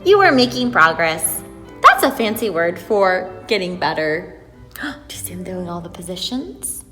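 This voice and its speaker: A woman presenting a storytime and ballet lesson for preschoolers in an encouraging, sing-song, highly expressive way. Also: moderate pace, recorded from a distance. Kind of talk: read-aloud and lesson for preschoolers. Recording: echoey hall, music behind.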